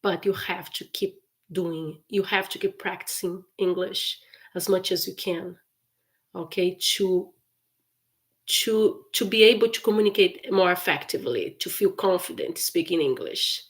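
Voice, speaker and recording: Slow, careful woman teaching English. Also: lowish voice. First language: Portuguese. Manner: slow, careful repetition of phrases with frequent laughs. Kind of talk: live-stream English lesson.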